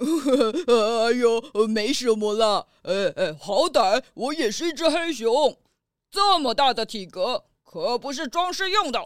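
A woman plays a big, good-natured bear guard in a children's radio play. The speech is slow, smooth and very melodic, with a Taiwanese accent. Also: fairly high pitch, expressive, no room sound, clean studio sound. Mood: bashful proud. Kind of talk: children's audio drama acting.

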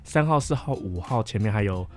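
A man's voice: on the low side, lively intonation, brisk. A young man, chatting casually as a podcast guest. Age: young adult. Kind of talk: casual chat. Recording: background music behind the voice. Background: music.

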